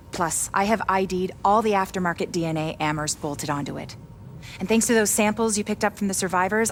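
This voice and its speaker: An actress as a driven, impatient woman researcher in a game cutscene. Her voice sits a little low, and she talks bluntly with a sarcastic edge. Faint noise lies behind her. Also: natural voice. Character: brusque, sardonic woman doctor, driven virus researcher. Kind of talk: video game cutscene acting.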